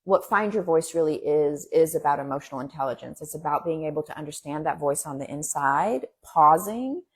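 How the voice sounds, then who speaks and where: A woman with a husky, slightly breathy voice, speaking fairly low for her sex, whose first language is Farsi. She talks as an interview guest, earnest and encouraging, in quick bursts. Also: animated. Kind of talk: casual interview talk.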